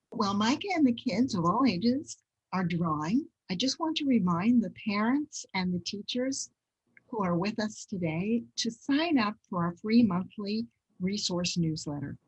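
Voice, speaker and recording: A woman's voice: mid-range voice, slightly rough, low-quality recording. A woman hosting a children's art session, presenting instructions and prompts in an encouraging way.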